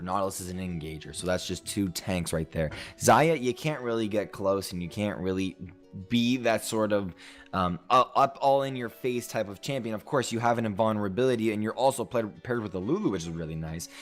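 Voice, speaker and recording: Lively young male esports caster. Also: fairly low pitch, a little fast, faint music behind. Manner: lively, explanatory, animated. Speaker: color caster. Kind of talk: esports commentary.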